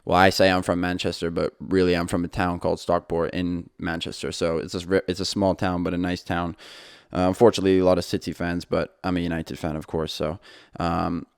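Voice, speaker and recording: A man's voice: low-pitched, little pitch movement, a little fast, clean tone, dry recording, clean studio sound. A young man hosting his own podcast, talking casually and rambling a little, with frequent fillers and restarts. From England. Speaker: podcast host. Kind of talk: casual solo podcast talk.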